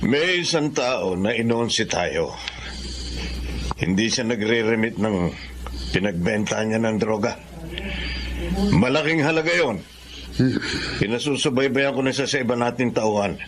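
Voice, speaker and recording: A man's voice: medium pitch, expressive, unhurried, pauses often, background noise, natural voice. A man acting in a Filipino radio drama as a commanding, corrupt police officer who secretly runs a syndicate. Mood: cold, pleased. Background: prominent music.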